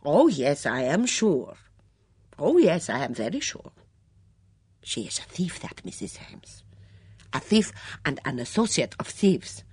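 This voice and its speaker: A woman voicing an excitable foreign cook who speaks broken English, emphatic and repeating her words. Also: sits low, slow, no echo, voice pushed up, heavily acted. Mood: accusing.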